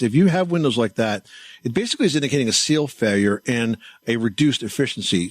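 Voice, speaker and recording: A man's voice: medium pitch, no room sound. A man hosting a home improvement call-in show, explaining things in an emphatic, folksy, conversational way. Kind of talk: conversational advice radio hosting. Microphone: close studio microphone.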